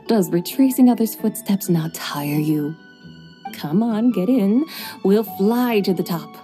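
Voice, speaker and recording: A woman voices an ancient, playful female horse spirit in a game cutscene, with a mellow, mid-pitched voice. She speaks in archaic, poetic turns of phrase with wry amusement. Soft music plays faintly beneath. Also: a little slow, close to an everyday voice. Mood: amused, poetic.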